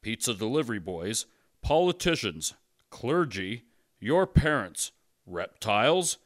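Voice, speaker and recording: A man's voice: mid-range voice, very animated, slow pace, voice pushed down. A man voicing an officious, cautious conscience who talks like an insistent legal announcer in a comedy sketch. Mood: stern, official.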